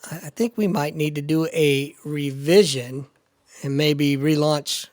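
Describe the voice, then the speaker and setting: A man's voice: a little high, fairly even intonation, measured pace, a little mellow, no room sound, studio quality. A man hosting a podcast in casual chat, hesitant and full of fillers and repeated words. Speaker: podcast host.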